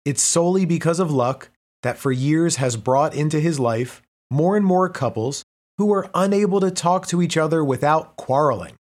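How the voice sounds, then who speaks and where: A man reading a prepared text aloud as narration, pausing between the items of each list. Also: fairly high pitch, lively intonation, darkish tone. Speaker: reader. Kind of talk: scripted narration.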